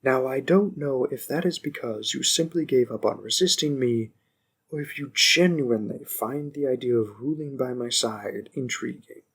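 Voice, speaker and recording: A man playing a smug, possessive young mafia boss in an audio roleplay, speaking deliberately with condescending politeness and calm menace. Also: medium pitch, gravelly, husky. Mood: calculating, smug. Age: young adult. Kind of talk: scripted audio roleplay addressed to one listener.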